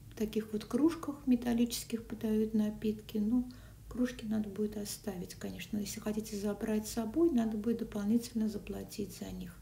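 A woman narrates a casual food and travel vlog, her mellow voice now and then dropping to a whisper. She is caught on a phone a little way off, with a roomy echo and faint background noise. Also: medium pitch, fairly even intonation, fairly quick, vocal fry. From Russian-speaking country. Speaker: vlogger. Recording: echoey, from a distance, faint noise.

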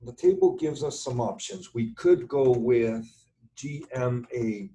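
A middle-aged man with a relaxed, mellow voice explains technical material like an instructor. He goes slowly and haltingly, thinking aloud as he works at his computer, with some keyboard typing around his words. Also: mid-range voice, a little hiss or hum. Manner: halting, deliberate, thinking aloud while writing. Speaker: structural engineering instructor. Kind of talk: informal tutorial explanation over screen share. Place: indoors at a computer, webcam.

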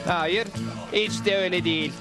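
Cartoon dub newspaper editor, male actor: extremely high, excitable, eager, declaiming. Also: normal speed, lightly acted. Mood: earnest. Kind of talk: dubbed cartoon acting. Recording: loud music bed under the dialogue.

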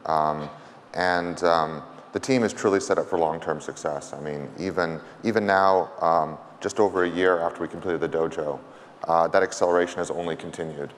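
A middle-aged man co-presenting informally at a conference, with a hesitant, pausing delivery. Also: low-pitched, steady tone. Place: large conference stage, indoors. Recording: reverberant hall with background noise.

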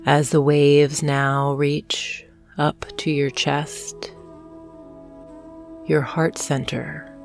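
A woman narrating a guided hypnosis meditation in a soothing, gentle manner, leaving long pauses between phrases. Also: deep voice. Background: soft meditation music with singing bowls.

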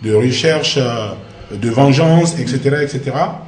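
A man's voice: mid-range voice, moderate pace, a little mellow. A man in his forties with an Ivorian accent, answering as an interview guest, hesitant and repeating phrases for emphasis. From Ivory Coast. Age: 40s. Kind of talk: studio interview conversation. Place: small indoor TV studio. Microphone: shared desk microphone. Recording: roomy, echoey studio sound.

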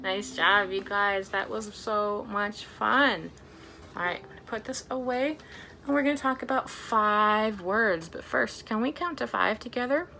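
A woman's voice: mid-range voice, unhurried, creaky, sharp tone, slight background noise. A young adult woman presenting a storytime for small children as a librarian, speaking to them in simple, encouraging phrases with lots of questions.

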